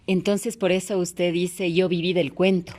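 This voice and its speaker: A woman's voice: fairly low pitch, flat delivery, measured pace, darkish tone. A woman interviewer speaking in a conversational way, putting questions to a guest.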